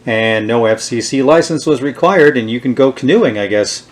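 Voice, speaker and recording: A man with a medium-pitched voice presents an informal product demonstration. He speaks without a script, in a halting and unhurried way, as someone showing items piece by piece. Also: lively intonation. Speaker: presenter showing vintage radio gear. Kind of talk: unscripted show-and-tell demonstration.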